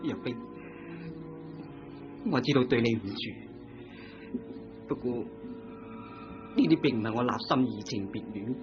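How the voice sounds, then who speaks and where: A man's voice: mid-range voice, measured pace, pauses often, natural voice. A man with a Hong Kong Cantonese accent, playing a timid, philandering young painter who stammers and hesitates in a vintage radio drama. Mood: remorseful. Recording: lo-fi old radio recording with music.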